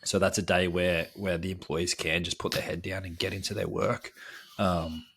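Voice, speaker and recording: A man co-hosting a podcast while sick and hoarse, giving earnest advice in casual chat. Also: low voice, level tone, moderate pace, fairly bright tone, slight background noise. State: hoarse, sick and losing his voice. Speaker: podcast co-host.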